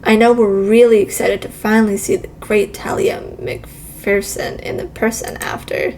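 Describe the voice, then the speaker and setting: A woman's voice: medium pitch, little pitch movement, normal speed, a little mellow, a little hiss or hum, close to an everyday voice. Woman playing a showy male talk show host. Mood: showy welcome.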